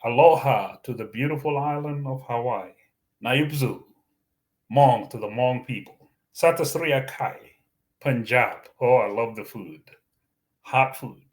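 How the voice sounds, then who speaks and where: Slow-speaking man, Arabic native speaker. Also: mid-range voice. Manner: slow, deliberate, with frequent fillers and restarts. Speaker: YouTube channel host. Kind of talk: Bible teaching monologue.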